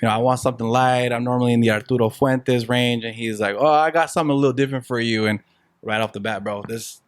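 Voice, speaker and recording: A young man hosts a golf podcast from inside a cigar shop, easygoing and friendly, dropping Spanish words into his talk. His voice sits at a middle pitch and sounds close and dry, with little room sound. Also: fairly even intonation, fast, smooth, dry recording. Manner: laid-back, friendly, mixes in Spanish words. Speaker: podcast host. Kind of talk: casual interview chat.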